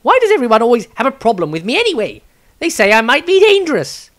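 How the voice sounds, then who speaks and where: A man voicing a cowardly, cocky apprentice wizard in a fantasy audiobook, excitable and theatrical. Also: quick pace, heavily acted. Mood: indignant. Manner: exclaiming, high-strung, theatrical.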